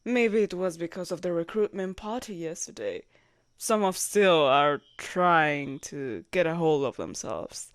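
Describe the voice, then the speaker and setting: Woman acting a courteous, flustered lady knight, very expressive. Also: fairly low pitch, a little slow, no room sound, studio quality. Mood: composed, courteous. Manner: formal, courteous, easily flustered. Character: loyal, flustered young adult female knight commander. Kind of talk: roleplay audio drama acting.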